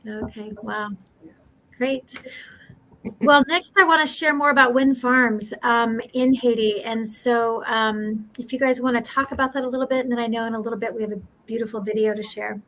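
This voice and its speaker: A woman's voice: on the high side, fairly even intonation, moderate pace, a bit bright, poor audio. A woman hosting an online talk, asking questions in an encouraging, upbeat way. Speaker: host.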